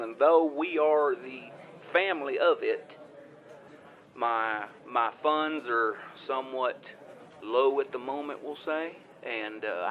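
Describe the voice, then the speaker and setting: A man acts out a folksy small-town caller in an audio comedy. He has a middling pitch and stumbles over his words, circling back and repeating himself. The sound is a little dull. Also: natural voice. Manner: rambling, stammering, repetitive. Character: folksy rambling small-town man, older ex-football player, injured rider. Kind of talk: improvised audio comedy phone call.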